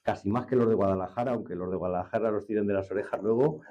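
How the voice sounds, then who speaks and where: A man's voice: fairly low pitch, racing, mellow. A man with an accent from Spain, hosting a conversational interview in an informal, familiar way. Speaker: interview host. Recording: slightly dull, lo-fi sound.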